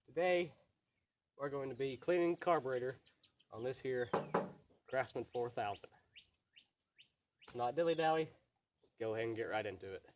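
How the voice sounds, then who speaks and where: Sniffly DIY host, folksy and plainspoken, recorded on a camera mic with audible noise behind. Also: male voice, mid-range voice, moderate pace, pauses often. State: sniffly from allergies. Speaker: channel host. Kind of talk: casual how-to repair walkthrough.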